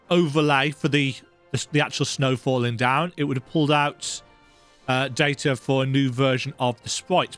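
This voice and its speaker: A man's voice: mid-range voice, a bit bright. A man giving casual, conversational commentary while playing a video game.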